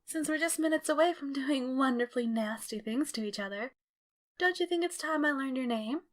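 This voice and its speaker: Woman playing a high-voiced flirty waitress. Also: fairly quick, natural voice. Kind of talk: comic dub voice acting.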